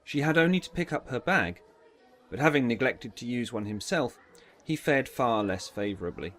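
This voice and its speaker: A man's voice: mid-range voice, animated, moderate pace, a bit bright. A man narrating a dramatised audiobook in a scripted, storytelling style.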